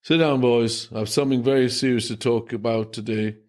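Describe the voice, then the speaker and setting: A man voicing a flustered, stern Irish priest who runs a boys' school, stammering and then shouting in rage. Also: medium pitch, fairly even intonation, fairly quick, lightly acted. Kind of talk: storybook reading.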